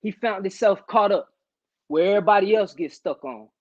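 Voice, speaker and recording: A grieving, earnest man played by a male actor delivers an emphatic dramatic monologue. His voice is notably high for a man, and the online recording sounds slightly dull. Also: moderate pace, darkish tone. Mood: impassioned, preaching. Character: grieving, impassioned man mourning a friend's lost ambition. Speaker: auditioning actor.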